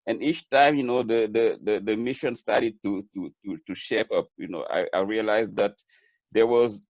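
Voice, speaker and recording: A middle-aged man with a Senegalese accent speaks in a fairly low voice. He answers conversationally as a guest, earnest and reflective, often repeating words as he builds a point. The sound is dry and somewhat dull, like lo-fi webinar audio. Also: moderate pace, no room sound. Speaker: webinar guest, chef and food entrepreneur.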